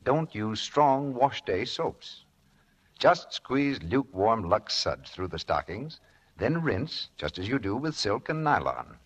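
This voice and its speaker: A man's voice: medium pitch, big pitch swings, slow, fairly bright tone, breathy edge. A man reading a scripted 1940s radio commercial as announcer, with a polished, instructive sales delivery. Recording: dull, muffled old radio broadcast.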